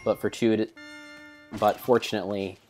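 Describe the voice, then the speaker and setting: A man narrating video game play as a solemn, theatrical storybook tale, in measured phrases. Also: on the low side, little pitch movement, mellow, soft background music. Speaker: streamer narrating own gameplay.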